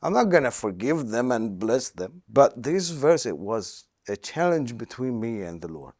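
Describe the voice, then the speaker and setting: A man in his thirties from Syria, with a Syrian accent, telling his life story as an interview guest in halting English. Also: mid-range voice, sing-song, a little mellow. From Aleppo, Syria. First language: Arabic. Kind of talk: personal testimony in conversation.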